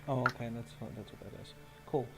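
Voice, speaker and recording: A man's voice: mid-range voice, fairly quick, gravelly, over loud music. A man acting as game master, casually narrating a tabletop roleplaying game and talking informally and hesitantly as he checks the rules.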